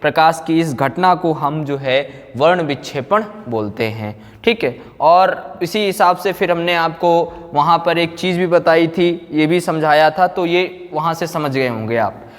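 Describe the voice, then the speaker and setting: A male teacher with a slightly high voice gives a classroom lecture. He goes slowly, repeating himself and posing rhetorical questions as he explains. The room adds a long, hall-like reverb. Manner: repetitive, explanatory, with rhetorical questions and check-ins. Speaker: physics teacher.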